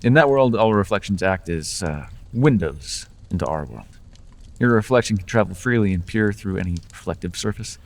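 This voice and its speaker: A man's voice: fairly low pitch, lively intonation, normal speed, creaky, long reverb tail. A man voicing a charming, persuasive male Fae who makes deals, with playful, coaxing salesman patter in an ASMR roleplay.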